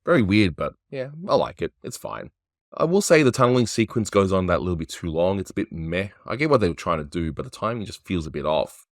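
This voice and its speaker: A man hosting a casual cartoon review, talking in a relaxed, offhand way and chuckling often. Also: deep voice, brisk, no room sound, clean studio sound. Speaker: animation reviewer, host.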